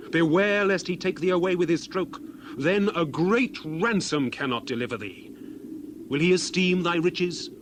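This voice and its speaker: Male actor as indignant biblical preacher figure, slightly high pitch, solemn rhetorical declamation, dramatized scripture, clearly audible bird ambience. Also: lightly acted. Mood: exalted, urgent. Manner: solemn, declamatory, rhetorical, swinging from hushed to loud. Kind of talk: dramatized King James scripture reading. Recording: clear background noise, outdoor bird ambience.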